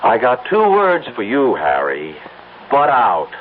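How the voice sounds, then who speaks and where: A man's voice: medium pitch, huge pitch swings, slow, a little hiss or hum. An actor playing a strained, obsessive advertising man in a 1970s radio drama, talking in a clipped, evasive way. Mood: irritable. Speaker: lead character in a radio play. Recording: tinny, music-backed old radio broadcast.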